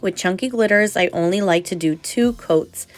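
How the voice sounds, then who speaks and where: A woman's voice: medium pitch, moderate pace, dry recording, faint music behind. A young adult woman narrating a nail tutorial voice-over in a chatty, explanatory way, sounding slightly nasal from a cold.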